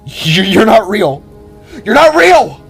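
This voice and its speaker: A man's voice: high-pitched, sing-song, slow, gravelly, soft background music, pitched above the performer's own voice, full character voice. A man voicing an anguished young robotic alien brother in an audio drama, stammering in disbelief. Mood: panicked anguish.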